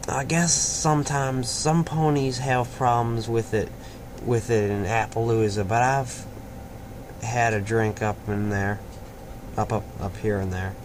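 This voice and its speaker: A man voicing an awkward, reluctant young male pony in a story, hesitant and flat, on a noisy recording. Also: mid-range voice, measured pace, natural voice. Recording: clearly noisy amateur home recording.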